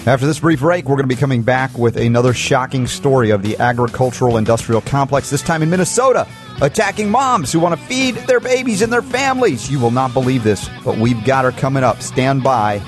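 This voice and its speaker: A man's voice: mid-range voice, a little fast, music in the background. A man hosting a health talk radio show, effusive and enthusiastic.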